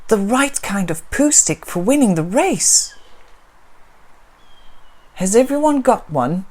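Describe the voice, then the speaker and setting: A woman's voice: medium pitch, very animated, slow, a little mellow, voice pushed up. A woman voicing a cheerful, bossy young girl in a children's storybook, eager and commanding. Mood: excited.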